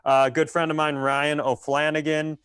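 Smooth-voiced American man, wry podcast co-host. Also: a little high, flat delivery, normal speed, fairly bright tone, no echo, studio quality. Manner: wry, joking. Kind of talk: casual comedic podcast chat.

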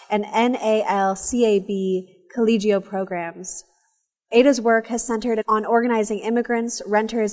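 Podcast host, woman, earnest and measured, recorded with a long hall reverb. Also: mid-range voice, darkish tone. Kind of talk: reading ads and intro, then conversational interview. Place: cafe, live recording.

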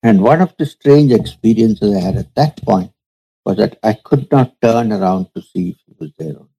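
A man speaks slowly and reflectively, as a spiritual teacher recounting his past. His voice is gravelly and husky, with a dark, mellow tone. He pauses often and lets each phrase settle. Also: on the low side, expressive, rough recording. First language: Urdu. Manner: slow, measured storytelling with pauses. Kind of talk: reflective storytelling in an interview.

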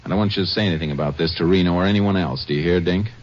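A man's voice: deep voice, very fast, natural voice. An actor playing a seasoned, fatherly but firm Texas Ranger, plain-spoken in the manner of a 1950s radio western. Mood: stern. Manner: folksy, firm, questioning. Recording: muffled, noisy 1950s radio recording.

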